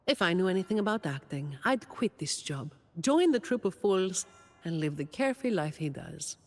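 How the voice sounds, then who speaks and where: Game role: weary young female office troubleshooter, lowish pitch, dry deadpan delivery, reverberant sound. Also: moderate pace. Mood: earnest, reflective. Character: weary, dutiful young woman, overworked office employee and troubleshooter. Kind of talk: scripted game character monologue.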